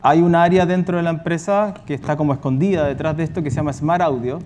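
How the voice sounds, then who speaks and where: A man with a fairly high yet mellow voice answers on a panel. He explains things at length, circling back and adding asides. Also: fairly even intonation, fast, a little hiss or hum. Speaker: audio company representative on a panel.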